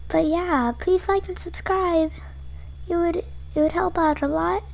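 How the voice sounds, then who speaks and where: A woman using a pitched-up voice changer to sound like a girl in a casual video. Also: steady tone, unhurried, creaky, exaggerated voice.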